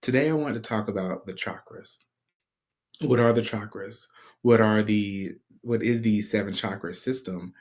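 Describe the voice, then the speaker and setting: A man hosting a spiritual podcast in a casual, conversational way, clearing his throat often while his voice recovers. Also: on the low side, normal speed, darkish tone. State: recovering from a sore voice. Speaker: podcast host.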